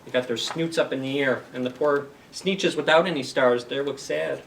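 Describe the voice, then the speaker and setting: A man's voice: mid-range voice, steady tone, moderate pace, vocal fry, slight background noise. Distant-miked man hosting children's story time. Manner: simple explanations and questions to young listeners. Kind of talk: read-aloud storytelling for young children.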